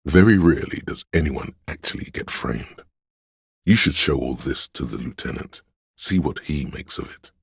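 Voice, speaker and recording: Very deep, gravelly inner voice. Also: male voice, expressive, no echo, studio quality, heavily acted. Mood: solemn, concerned. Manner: measured, brooding, literary delivery. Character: inner voice of police instinct, solemn, brotherly, watchful. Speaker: video game voice actor, narrator. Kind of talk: scripted second-person game narration.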